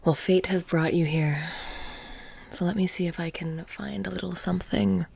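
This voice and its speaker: A woman playing a sly, mysterious witch shopkeeper in a soft-spoken ASMR roleplay, hushed and breathy, slipping in and out of whispers. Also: lowish voice, steady tone, normal speed, no echo, exaggerated voice. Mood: probing, coaxing.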